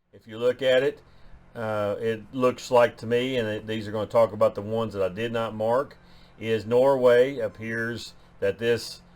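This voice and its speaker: Lowish man explaining DNA results. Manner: loose, restarting phrases while reading numbers. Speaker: genealogy channel host.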